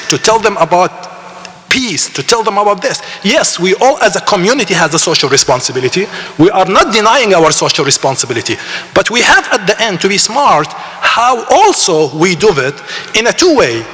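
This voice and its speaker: A man's voice: high voice, bright, forceful tone. A man making an impassioned comment, with rhetorical questions and non-native grammar. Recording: long hall reverb.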